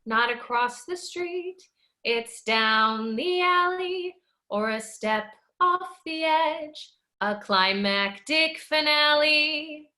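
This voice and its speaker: An actress playing a darkly comic chorus figure in a stage fable, delivering verse in a theatrical musical style. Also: female voice, high voice, animated, drawn-out words, sharp tone, full character voice. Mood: dark, mocking.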